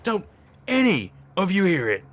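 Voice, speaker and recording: A man's voice: sits high, huge pitch swings, measured pace, voice pushed up, full character voice. A man voicing a terrified, paranoid young man who is losing his mind, frantic and pleading, in a dramatic story. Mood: frightened insistence.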